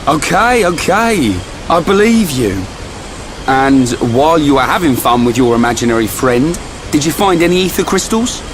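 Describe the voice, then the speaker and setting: A man's voice: a little high, very animated, normal speed, background noise. A man with a British accent voicing a brash, teasing young sidekick and loyal friend in a video game.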